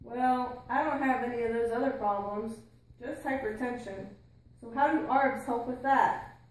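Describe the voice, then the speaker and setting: A woman's voice: a little high, measured pace, slight background noise, natural voice. Woman playing inquisitive puppet patient, roomy echo. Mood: curious. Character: inquisitive female puppet patient with high blood pressure. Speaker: patient asking questions. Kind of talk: scripted educational puppet-show dialogue.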